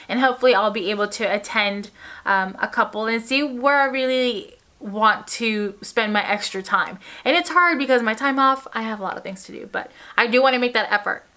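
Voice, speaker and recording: Young woman nurse, bubbly and chatty, fast talker, bright slightly high voice, lots of ums. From Puerto Rico. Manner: quick, bubbly, chatty with frequent fillers. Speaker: ICU nurse vlogger. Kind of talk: casual talk to camera.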